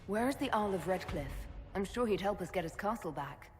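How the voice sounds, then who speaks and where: A woman's voice: mid-range voice, lively intonation, a little fast, lightly acted. An actress playing a bold, dry-witted female elven envoy in a game cutscene, composed and sardonic. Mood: matter-of-fact. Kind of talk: dramatic game cutscene acting. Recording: long hall reverb, music underneath.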